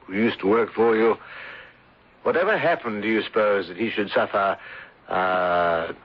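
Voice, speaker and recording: Unctuous gentleman schemer, low-voiced. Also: moderate pace, breathy edge. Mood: probing, insinuating. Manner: florid, hesitant, unctuous. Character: smooth, ingratiating English gentleman schemer, mournfully sympathetic. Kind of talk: radio drama acting. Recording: dull, lo-fi 1970s radio recording.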